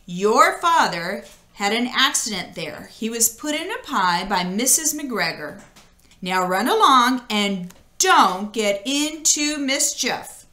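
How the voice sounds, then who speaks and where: Lady reading as old mama rabbit from a storybook, gentle but firm warnings, measured, bright voice in an echoey room. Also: a little high, sing-song, exaggerated voice. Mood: gently warning. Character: old mother rabbit, gently firm, cautioning her children.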